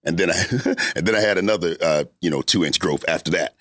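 A middle-aged man with a deep voice hosting a talk show, telling stories about himself with laughter and self-mockery. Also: a little fast, fairly bright tone, no room sound, clean studio sound. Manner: laughing, self-mocking storytelling. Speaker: show host. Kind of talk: casual chat.

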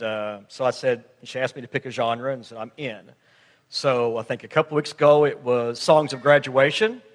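A middle-aged man giving an informal, folksy talk to a congregation, often checking in with his listeners. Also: medium pitch. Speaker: guest speaker at church. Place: large hall, church service. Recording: echoey hall with long reverb.

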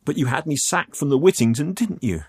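A man voicing a frightened, desperate youth who speaks in a halting stammer, in an audiobook story. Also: mid-range voice, sing-song, a little mellow, slightly rough, voice pushed up. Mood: shaky accusation.

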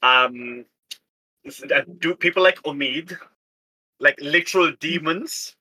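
Very bright-voiced man, wry tabletop player. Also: a little high, slow pace, rough recording. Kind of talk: casual tabletop roleplay chat.

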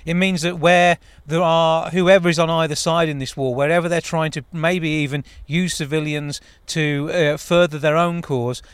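Smooth-voiced radio host man. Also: fairly high pitch, dry recording. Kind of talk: radio interview.

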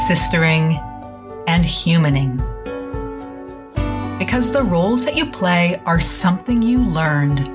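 Woman host with a deep voice, earnest and encouraging. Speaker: podcast host. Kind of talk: podcast host intro and interview.

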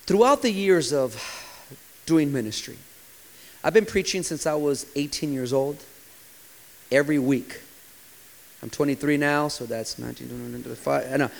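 A man in his forties, a pastor preaching a sermon with impassioned delivery that sometimes drops to a hushed, quiet tone. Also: medium pitch, moderate pace, vocal fry, pauses often, poor audio. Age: 40s.

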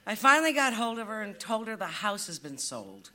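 A woman playing a take-charge, businesslike household pet in a live comedy audio play, brisk and commanding. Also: medium pitch, sing-song, pushed and bright. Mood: weary.

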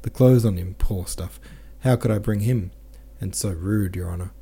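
A man reading the lines of a bold, cheeky male peasant scout, in a hurried, brash way. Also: lowish voice, dark tone, breathy edge. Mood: cocky.

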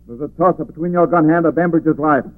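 Casting: male actor as skeptical, no-nonsense police chief, rapid delivery, 1940s radio crime show, narrow phone-like sound. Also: a little high, close to an everyday voice. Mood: gruff, skeptical. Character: brusque, impatient, skeptical male police commissioner. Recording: thin, narrow-band old radio recording.

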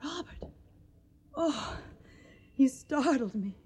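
A woman's voice: sits high, animated, takes it slowly, darkish tone. A woman playing an earnest, tender young farm woman with a Southern accent in a radio drama.

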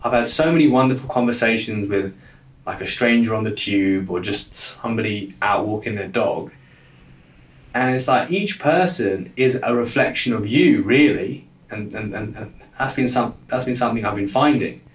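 A man's voice: lowish voice, normal speed. Young man, stutter with lots of repeats, recorded off-mic in an echoey room. Guest coach telling stories. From lives in London. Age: young adult. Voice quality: stutter, frequent word repetitions. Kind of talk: casual interview talk. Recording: roomy, distant from the mic.

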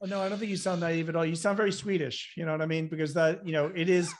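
A man hosts a business interview in a slightly higher voice than most men. His questions run long and loose, padded with fillers and restarts. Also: level tone, quick pace, slight background noise. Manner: rambling questions with many fillers. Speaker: interview host. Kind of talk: interview conversation.

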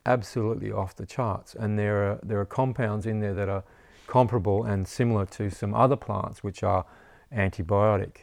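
Gravelly man, low and rambling. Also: steady tone, normal speed, darkish tone, no room sound, studio quality. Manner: rambling, repeats words, says "you know" often. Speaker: herbalist teacher. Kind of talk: casual interview chat.

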